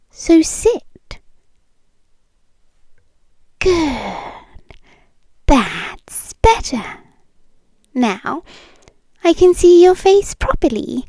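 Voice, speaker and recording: A British woman playing a possessive, eerily calm yandere ex-girlfriend in an intimate, partly whispered ASMR roleplay, cooing slowly and condescendingly with soft menace. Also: high-pitched, dry recording, heavily acted. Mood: controlling, coaxing. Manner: slow, deliberate, softly menacing, condescending. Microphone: close microphone, dry.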